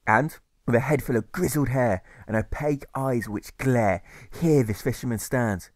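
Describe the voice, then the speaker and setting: A man narrating a rhyming audiobook in a measured, rhythmic manner that stresses the rhymes. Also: mid-range voice, darkish tone, no room sound. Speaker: author reading his audiobook.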